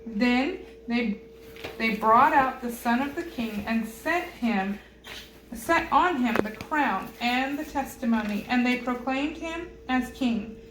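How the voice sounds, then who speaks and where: A woman reading scripture aloud in a halting manner, stumbling over unfamiliar names. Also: on the high side, expressive. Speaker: Bible reader. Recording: roomy echo with loud background noise.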